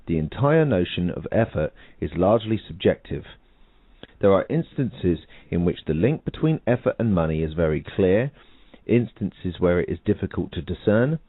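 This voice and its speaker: A man reading a nonfiction book aloud on a radio show, in a measured, emphatic way that lifts on key points. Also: low voice, no echo. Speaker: audiobook reader. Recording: tinny phone-like radio broadcast.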